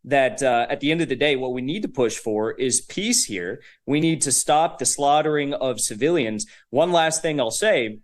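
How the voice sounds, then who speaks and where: Young male guest commentator, mid-range pitch, casual and emphatic delivery. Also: lively intonation, moderate pace, hardly any pauses. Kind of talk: political commentary.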